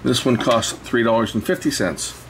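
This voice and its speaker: Man rambling over clear background noise. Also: mid-range voice, moderate pace. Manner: rambling, thinking aloud. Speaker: host showing old records. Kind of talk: casual hands-on commentary. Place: indoors at a cluttered electronics workbench.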